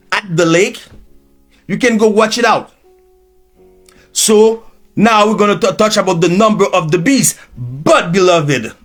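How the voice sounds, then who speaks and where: Male preacher from Haiti, first language Haitian Creole; unhurried, drawn-out sermon delivery with a slightly higher voice. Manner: unscripted, slow and drawn out, with restarts and swings in pitch and loudness. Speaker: preacher and teacher.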